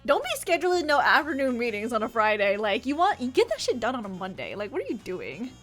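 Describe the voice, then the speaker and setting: A chatty, expressive woman livestreaming a game in a casual, rambling way and talking to her viewers. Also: on the high side, fast. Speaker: live game streamer. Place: indoor home office.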